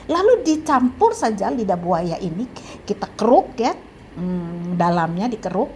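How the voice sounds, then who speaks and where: A woman in her seventies with an Indonesian accent, talking casually about home remedies, pausing often with fillers and restarts. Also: on the low side, a little hiss or hum. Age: 70s. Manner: halting, with frequent fillers and restarts. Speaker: health vlogger. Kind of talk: casual advice talk.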